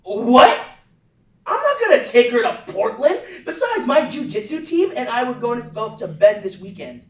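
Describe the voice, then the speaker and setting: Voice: medium pitch, very animated, moderate pace, fairly bright tone. A performer reading a sarcastic teenage brother. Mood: protesting. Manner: sarcastic, exasperated. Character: teenage boy, grumpy older brother. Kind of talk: table read. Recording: roomy sound from a distant mic.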